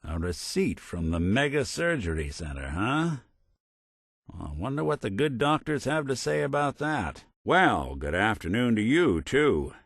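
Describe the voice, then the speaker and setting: A man's voice: medium pitch, sing-song, a little slow, breathy edge, dry recording, heavily acted. A man voicing a world-weary robot detective in a video game, with a dry, sardonic, folksy noir delivery. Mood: wry, sardonic.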